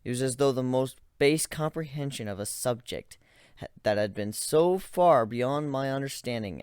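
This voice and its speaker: A man narrates a story in a dramatic first-person style. His pitch is mid-range and moves around a lot, and the sound is dry with little room to it. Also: a little mellow, no echo. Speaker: narrator of a fan fiction dramatic reading.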